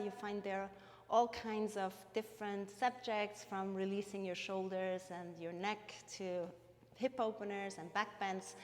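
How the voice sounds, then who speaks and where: The calm woman at the front of a big echoing yoga studio, Swiss German accent from Bern, cueing each pose and breath for a live class. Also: medium pitch. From Switzerland. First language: Bernese Swiss German. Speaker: yoga instructor. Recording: long reverberant hall-like echo.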